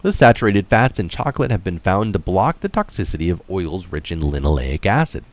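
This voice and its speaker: A man's voice: fairly low pitch, dry recording. A man reading an article aloud in narration style, dropping in casual, opinionated asides of his own. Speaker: reader of an article adding his own comments.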